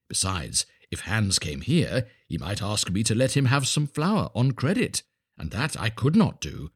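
A man voicing a pompous, self-satisfied storybook miller who moralizes in drawn-out phrases. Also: medium pitch, slightly rough, no echo, clean studio sound. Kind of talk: storybook character voice.

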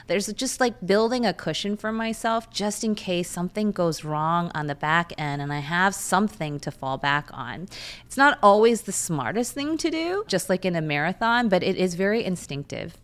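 Candid woman guest, smooth lower voice. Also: lively intonation, moderate pace. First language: Korean. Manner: candid, chatty, with frequent fillers. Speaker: guest, lawyer and runner. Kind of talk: reflective interview answers.

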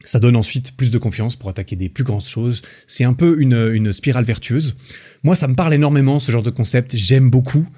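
A young man from France hosting a solo podcast, talking in a relaxed, rambling way with lots of fillers and restarts. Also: medium pitch, fairly quick. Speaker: podcast host. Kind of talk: casual solo podcast monologue.

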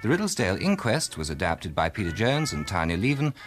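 A man's voice: low voice, sing-song, brisk, soft background music. A man with a British accent reading closing credits as a scripted radio broadcast announcement.

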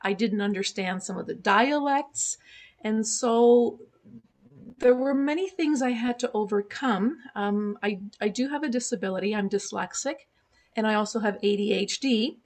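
A woman's voice: mid-range voice, normal speed. A woman in her sixties whose first language is German, raised in Canada, speaking candidly as a podcast guest, with frequent fillers. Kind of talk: casual interview conversation. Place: remote podcast call.

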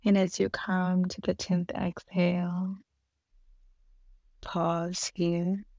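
A woman leads a guided meditation at a very slow, unhurried pace. Her voice sits a little low with a gravelly creak at the edges. She gives gentle, soothing instructions and leaves long pauses between phrases. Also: monotone, no echo.

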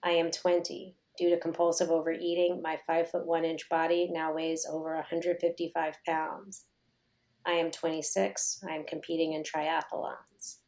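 A middle-aged woman, a yoga teacher, reading her own personal essay aloud in a slow, measured, reflective way. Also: sits low, gravelly, a little mellow.

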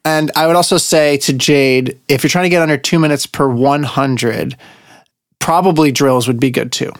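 A man's voice: mid-range voice, normal speed, no echo, studio quality. A man hosting a casual podcast, reading listener questions and chatting with easy laughter. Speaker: podcast host.

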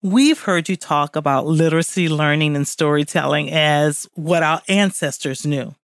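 A woman's voice: low voice, normal speed, no room sound, clean studio sound. A woman hosting an interview, reading a scripted introduction of her guest and then asking him questions. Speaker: host.

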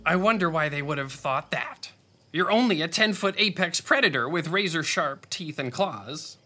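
Bright, fussy, grumpy rabbit scribe. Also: male voice, on the high side, expressive, normal speed, soft background music. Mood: dry sarcasm. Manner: fussy, pompous, huffy. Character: grumpy, proud male rabbit scribe, pedantic and easily offended.

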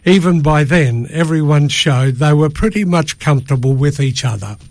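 A man's voice: fairly high pitch, moderate pace, dry recording. An older man giving a prepared statement as a radio studio guest, formal and careful in his wording. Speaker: choir member, interview guest. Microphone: studio microphone.

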